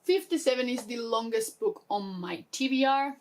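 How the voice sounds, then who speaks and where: A woman's voice: fairly high pitch, moderate pace. A woman chatting casually as a book vlogger, explaining things informally and interrupting herself with little asides. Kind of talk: casual chat explaining a reading game.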